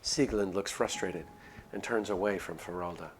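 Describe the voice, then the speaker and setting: A man streaming a visual novel, reading it aloud as measured, expressive story narration. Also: sits low, low-quality recording. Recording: clear music behind voice. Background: background music.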